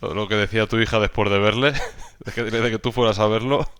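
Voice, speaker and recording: A man's voice: fairly low pitch, fairly even intonation, brisk, a bit bright, a little hiss or hum. A man co-hosting a podcast, casually prompting the others with questions. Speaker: podcast co-host. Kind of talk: casual chat.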